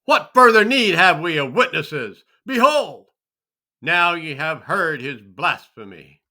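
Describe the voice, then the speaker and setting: A man playing a stern, indignant high priest in a dramatic scripture reading, commanding and accusatory. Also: lively intonation, slow, sharp tone, heavily acted. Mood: outraged.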